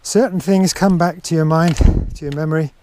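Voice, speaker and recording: A man talking casually to his camera as he walks a mountain trail outdoors, reflective and unhurried, with halting phrases. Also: fairly high pitch, fairly even intonation, a little mellow. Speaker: hiker filming his own walk. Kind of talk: casual walking vlog narration. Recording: noisy outdoor camera audio.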